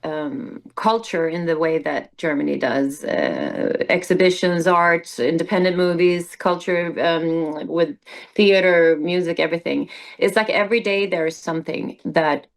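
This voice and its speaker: A woman's voice: on the low side, measured pace, gravelly. Swedish woman, reflective and hesitant. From Sweden. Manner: reflective, hesitant with many fillers. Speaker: guest, musical theater performer. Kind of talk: casual interview conversation.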